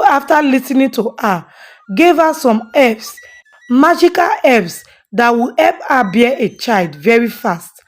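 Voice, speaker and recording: A woman storyteller giving a scripted narration of a folktale. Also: a little high. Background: faint music.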